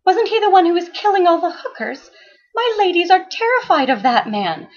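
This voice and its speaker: A woman voicing a fiery, defiant madam in a story reading, shrill, commanding and dramatic. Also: big pitch swings, normal speed, highs cut off. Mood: indignant, scornful.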